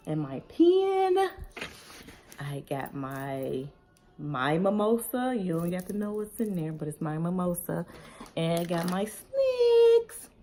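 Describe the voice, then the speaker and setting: A woman, casually reading tag questions aloud and answering them in a relaxed, conversational way at home. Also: deep voice, a little hiss or hum. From Chicago, Illinois. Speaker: vlogger.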